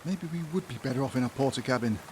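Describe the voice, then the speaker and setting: A British actor playing a grumpy, sarcastic small-town office worker in a comedy audio drama, grumbling and swearing sardonically. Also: male voice, medium pitch, animated, very fast, darkish tone, slight background noise, natural voice. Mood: grumbling, exasperated.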